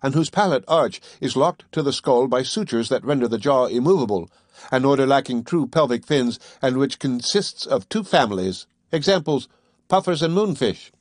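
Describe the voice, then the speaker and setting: A man voicing a fussy, pedantic male servant who lectures in a precise, drawn-out way. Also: mid-range voice, animated, no room sound, studio quality, natural voice. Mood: lecturing. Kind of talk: audiobook character voice.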